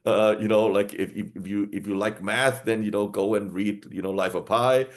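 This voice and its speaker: A man speaking on a panel video call as a publisher. His voice sits somewhat low, and he rambles with many restarts and fillers. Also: fairly even intonation, normal speed.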